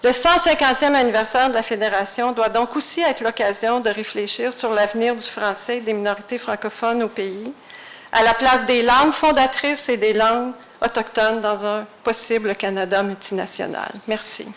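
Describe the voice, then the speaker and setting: A woman's voice: fairly high pitch, moderate pace, fairly bright tone. A woman reads out a prepared academic paper at a university colloquium in a formal manner. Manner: formal, read-out delivery. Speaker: conference speaker. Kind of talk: reading a prepared academic talk. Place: university lecture hall.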